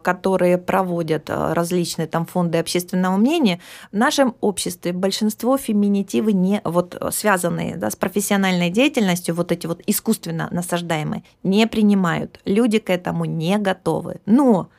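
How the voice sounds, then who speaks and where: A middle-aged woman speaking as a philologist guest in a podcast interview, explaining at an even, measured pace and now and then losing her thread. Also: on the low side, gravelly. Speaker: philologist guest, department head.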